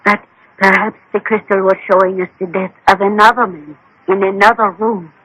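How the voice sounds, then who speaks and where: An actress in a radio drama playing a deferential, solicitous fortune teller with a formal, mysterious manner. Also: female voice, medium pitch, fairly even intonation, moderate pace, a bit bright, breathy edge, poor audio. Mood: grave concern.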